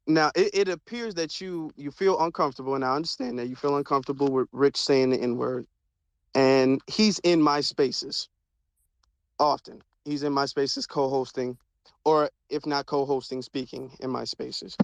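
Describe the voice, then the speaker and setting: A man hosting an online audio discussion, speaking in a measured, hesitant way with many fillers. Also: mid-range voice, clean tone, dry recording. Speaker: host of audio chat room.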